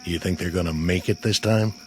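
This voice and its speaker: An actor playing a war-weary, humane army sergeant in a radio drama, dry and sarcastic with a folksy manner. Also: male voice, deep voice, fairly quick, lightly acted. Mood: dryly sardonic. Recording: radio drama with faint music underneath.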